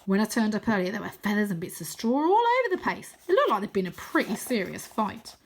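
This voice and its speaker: A woman voicing an excitable, boastful, matey boy who is half wizard and half ninja in a children's storybook, with dramatic delivery. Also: medium pitch, fairly quick. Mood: confiding, urgent. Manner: dramatic, switching between shouts and whispers. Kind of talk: children's storybook character reading.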